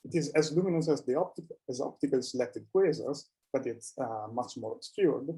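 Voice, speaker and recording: Male scientist presenting findings: soft-edged dark tone, average pitch, somewhat hesitant phrasing. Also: animated, a little fast. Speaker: researcher presenting at a conference.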